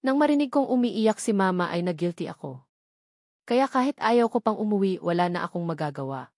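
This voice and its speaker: A woman's voice: mid-range voice, expressive, brisk, darkish tone, no echo. Dry-sounding woman narrating a romance novel. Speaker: story narrator. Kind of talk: scripted first-person romance novel narration.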